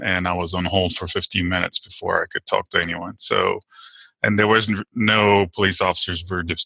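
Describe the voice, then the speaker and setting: A man's voice: low-pitched, vocal fry, pushed and bright, dry recording. A middle-aged man from Turkey, answering interview questions in a casual, hesitant way with many fillers and restarts. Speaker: podcast guest.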